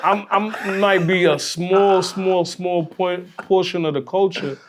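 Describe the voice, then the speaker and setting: A man's voice: high voice, fairly even intonation, slow pace, slight background noise. A man speaking casually and conversationally in slang, as a DJ guest on a podcast.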